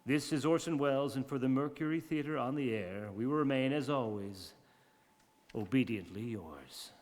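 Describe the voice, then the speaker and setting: A man's voice: medium pitch, moderate pace, darkish tone, natural voice. A man playing a grandiloquent, wry 1930s radio host in theatrical monologue, eloquent and self-mocking. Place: community theatre radio play staged live. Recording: reverberant hall.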